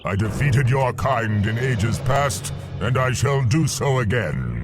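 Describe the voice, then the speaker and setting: An actor playing an ancient, haughty forest demigod in a fantasy game, grandiose and commanding. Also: male voice, medium pitch, expressive, takes it slowly, music in the background. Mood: wrathful, commanding. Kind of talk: fantasy video game acting.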